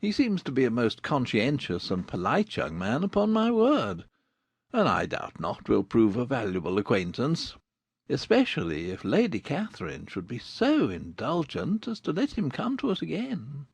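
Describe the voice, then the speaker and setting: Man acting wry, swooping, creaky father. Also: mid-range voice, huge pitch swings, normal speed, no echo, exaggerated voice. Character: wry, teasing middle-aged husband and father. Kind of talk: dramatized audiobook acting.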